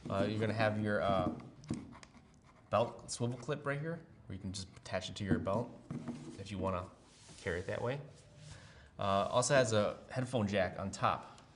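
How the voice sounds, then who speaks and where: Low-voiced man demonstrating a product, noisy background. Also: moderate pace, pauses often. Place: room with brick wall, at a table.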